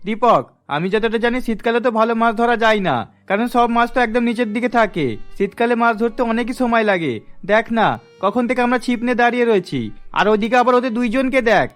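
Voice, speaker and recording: A man's voice: extremely high pitch, a little fast. A man voicing a poor, resigned fisherman in a cartoon, explaining things matter-of-factly. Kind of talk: Bengali cartoon voice acting. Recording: background music under voice.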